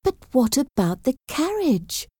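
A woman's voice: medium pitch, animated, slow, dark tone, dry recording, clean studio sound, voice pushed up. A woman voicing a sweet, trusting young woman in a storybook, with an earnest, gushing manner. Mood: eager, impatient.

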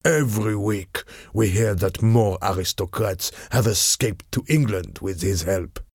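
Man playing a cunning, slow-talking French villain. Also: fairly low pitch, darkish tone, no echo. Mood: intense, hateful. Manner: smooth-tongued, deliberate, menacing. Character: cunning, cold French ambassador, small thin man of forty.

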